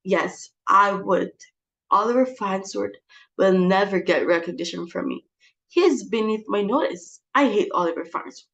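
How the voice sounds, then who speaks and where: A woman's voice: mid-range voice, moderate pace, gravelly, fairly bright tone, dull audio. A woman playing an exasperated, imperious society mother. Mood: indignant. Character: domineering, melodramatic middle-aged society hostess and mother. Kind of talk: dramatic reading of a stage play.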